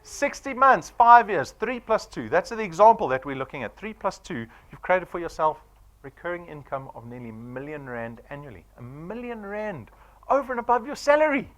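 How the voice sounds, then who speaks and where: A man's voice: high voice, very animated, normal speed, a bit bright. A man of forty with a South African accent, giving a punchy sales presentation to an audience and firing off rhetorical questions. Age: 40s.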